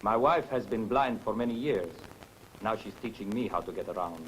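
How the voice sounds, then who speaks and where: An actor playing a hospitable, anxious Spanish husband in a 1960s film, with a Spanish accent and courteous manner. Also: male voice, lowish voice, normal speed, slight background noise, close to an everyday voice, rough recording. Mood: earnest. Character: newly blind Spanish husband, hospitable, anxious expectant father. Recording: 1960s film soundtrack, faint music.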